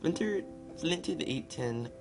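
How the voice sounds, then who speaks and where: A man's voice: on the high side, expressive, slow pace, vocal fry. A man doing casual game commentary and amateur read-aloud narration, informal, with stumbles and ad-libs. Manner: informal, loosely reads text with stumbles and ad-libs. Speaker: gaming video narrator. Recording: music playing behind the voice. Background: guitar and piano music.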